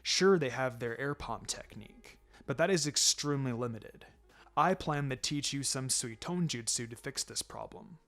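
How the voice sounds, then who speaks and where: A man voicing a calm, firm ninja mentor in a fan fiction reading, encouraging and dryly teasing in a measured, instructive way. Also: mid-range voice, voice pushed down, lightly acted. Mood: calm instruction. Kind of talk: fan fiction character dialogue.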